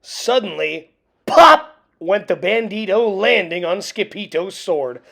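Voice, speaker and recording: A woman reads a picture book aloud for children. Her delivery is theatrical and swings widely in pitch, with dramatic pauses and a slow, slightly creaky voice. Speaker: storybook reader.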